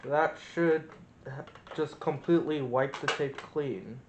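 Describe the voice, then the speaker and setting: A man's voice: a little high, takes it slowly. A man presenting a casual hands-on experiment, thinking aloud as he demonstrates. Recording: roomy echo, noticeable background noise.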